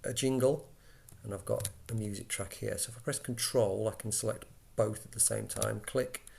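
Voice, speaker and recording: Low, slightly creaky man's tutorial voice. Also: steady tone, normal speed, a little mellow. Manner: explains step by step, conversational. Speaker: software tutorial instructor. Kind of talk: informal screen-recorded software walkthrough.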